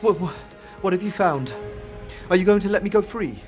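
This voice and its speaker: A man's voice: high-pitched, brisk, a little mellow, lightly acted. A man playing a nervous, bored young fellow with a hesitant, stammering delivery in a fantasy audio drama. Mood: anxious. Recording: long reverb, music behind.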